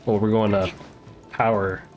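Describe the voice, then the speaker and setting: Youngish guy, lowish voice, chatting while gaming, repeats himself, game music going. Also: level tone, measured pace. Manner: repeats short phrases while playing. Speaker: game streamer. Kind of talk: casual gameplay chat. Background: video game music and effects.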